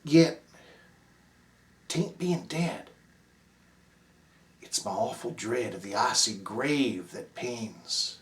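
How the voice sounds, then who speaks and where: A man voicing a freezing, dying prospector from the Southern US, pleading in a moaning, drawn-out Southern accent. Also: medium pitch, animated, echoey room. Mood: dreading.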